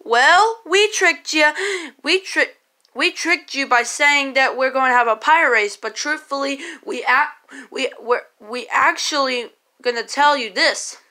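Woman playing a scheming old pirate king, slow-paced. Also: high voice, sharp tone. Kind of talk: homemade puppet play.

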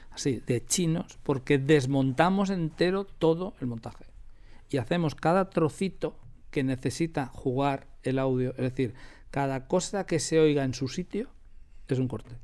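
A man's voice: a little high, normal speed, darkish tone. A man with an accent from Spain, explaining production work casually in an interview, repeating phrases for emphasis. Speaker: audio drama producer.

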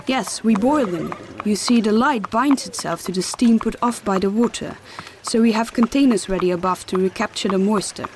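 With clear noise in the background, a woman plays a kindly fantasy mentor in an audio drama. She explains her trade in formal, patient phrases at a middling pitch. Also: moderate pace, natural voice. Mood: patient, instructive. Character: kindly, instructive female mentor of a fantasy light-gathering trade. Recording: clearly noisy background of clicks and horse-like sounds.

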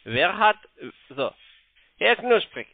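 A man with a foreign accent, not a native speaker, making a halting phone sales pitch with frequent tag questions. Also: very animated, creaky, no room sound. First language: not German. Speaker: telephone sales caller pitching investments. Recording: narrow tinny phone line.

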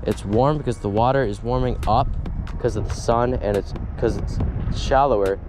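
A man's voice: mid-range voice, moderate pace. A young man chatting casually as he fishes, rambling and correcting himself as he talks into an action camera outdoors by a windy pond. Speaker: fishing vlogger. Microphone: action camera, head-mounted and handheld.

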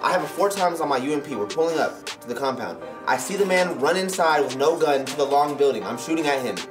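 A man's voice: fairly high pitch, fast. A young man, an esports player, talking heatedly with his team while they play, in an emphatic way. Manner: heated, emphatic gamer talk. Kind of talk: team chat during play. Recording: background music under voice.

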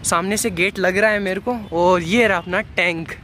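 A man's voice: high-pitched, fairly even intonation, moderate pace, pushed and bright, a little hiss or hum. A young man casually narrating a walking travel vlog through city streets and a market, talking to his viewers and often calling them "guys". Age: young adult. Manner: chatty, repeats "guys" and "chalo" often. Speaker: travel vlogger. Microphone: handheld phone camera at arm's length. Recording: outdoor phone audio with music under it.

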